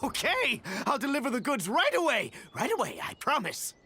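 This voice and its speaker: A man playing a cowardly, excitable merchant in a video game, pleading and grovelling in an exaggerated, frantic way. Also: extremely high pitch, normal speed, soft background music, heavily acted. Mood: desperate, pleading.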